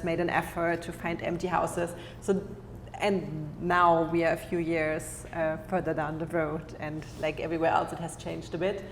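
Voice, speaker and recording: A woman moderates a public talk in a measured way, asking questions and summing up. Her voice sits low and stays flat, and the hall gives it a long echo. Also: a little hiss or hum. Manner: measured, asks questions, sums up. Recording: echoey hall, faint ambience.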